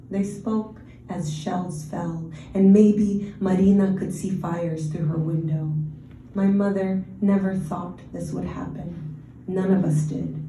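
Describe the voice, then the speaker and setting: A woman poet, from Ukraine and raised in the Washington DC area, with Russian as her first language, giving a measured, deliberate poetry reading with brief casual asides. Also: lowish voice, dark tone, slight background noise. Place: reading venue, roomy space. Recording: roomy live recording from a distance.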